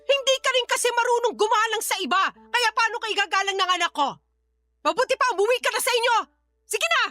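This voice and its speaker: Very high-pitched scolding grandmother. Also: fast, sharp tone, no room sound. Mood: angry. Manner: confrontational, exasperated, emphatic. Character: fed-up, scolding grandmother defending her family. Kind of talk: radio drama acting.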